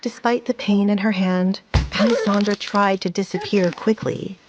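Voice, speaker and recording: A woman's voice: medium pitch, fairly even intonation, moderate pace, highs cut off, a little hiss or hum. A woman reading scripted, dramatic story narration as the narrator of an audio fiction series.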